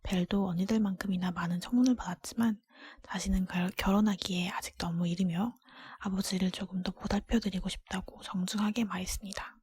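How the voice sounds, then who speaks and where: A woman reading a fairy tale aloud for ASMR in a breathy, unvoiced whisper, gentle and measured, close to the microphone. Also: medium pitch, steady tone. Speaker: storybook reader. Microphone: close microphone, dry.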